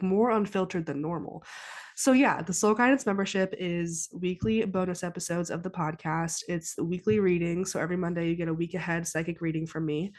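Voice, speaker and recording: A young adult woman hosting her own podcast in casual solo chat, congested from a head cold, rambling with frequent ums and restarts. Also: medium pitch. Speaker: podcast host and psychic medium.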